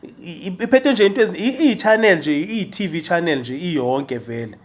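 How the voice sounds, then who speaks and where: A South African man hosts a casual interview in a somewhat high-pitched voice. He speaks haltingly, often repeating small syllables before he gets a phrase out. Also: lively intonation. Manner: halting, repeats syllables while forming questions. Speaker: interviewer, host.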